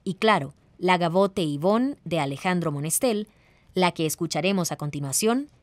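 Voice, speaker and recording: A woman's voice: fairly low pitch, lively intonation, moderate pace, no room sound. A woman reading scripted narration as the presenter of a radio programme.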